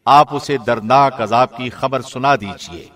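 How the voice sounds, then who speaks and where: Male scripture narrator, medium pitch, formal scripted delivery with careful, measured enunciation. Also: fairly bright tone. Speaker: translation reader, radio host.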